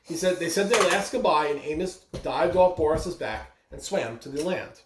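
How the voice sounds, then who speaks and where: Storybook reader for a kids' read-aloud, expressive delivery, mid-pitched, roomy sound with noticeable background noise. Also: normal speed. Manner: expressive, with brief asides to listeners.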